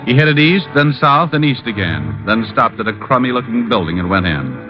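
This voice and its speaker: A man's voice: medium pitch, sing-song, moderate pace, over loud music, natural voice. An actor playing a wry, self-mocking male private detective who narrates his case in 1940s radio style. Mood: wry, brisk. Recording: muffled old radio broadcast, musical backing.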